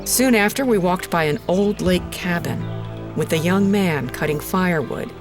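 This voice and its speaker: An actress playing an uneasy female radio presenter, narrating an eerie investigation in a measured, deliberate way. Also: lowish voice, over music, close to an everyday voice. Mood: foreboding, measured. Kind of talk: scripted first-person narration.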